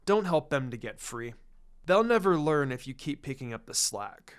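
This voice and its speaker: A man voicing a serious adult ninja mentor in a fan fiction reading, calm, stern and deliberate as he explains. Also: mid-range voice, clean tone, pitched below the performer's own voice, natural voice. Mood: cool, casual.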